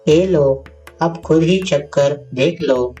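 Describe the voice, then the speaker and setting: A man's voice: on the high side, slow, warm tone, slightly rough, pitched above the performer's own voice. A man voicing a poor, proud, hardworking village mother in a cartoon moral story, plain-spoken and dignified. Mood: willing, practical. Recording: distant voice over faint music.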